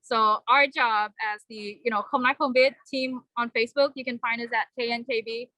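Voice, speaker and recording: A young woman from Vietnam giving a halting, earnest personal speech at a vigil, with many fillers. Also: fairly high pitch, steady tone, bright, forceful tone, no room sound, low-quality recording. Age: young adult. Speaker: vigil speaker.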